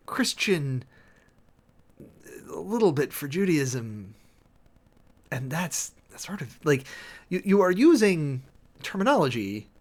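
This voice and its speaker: Male livestream host, medium pitch, highly animated. Theatrical reader and analyst, draws words out for emphasis, dryly sarcastic. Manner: theatrical, emphatic, with drawn-out words and sudden swells. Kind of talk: dramatic reading with close analytical commentary to a live chat.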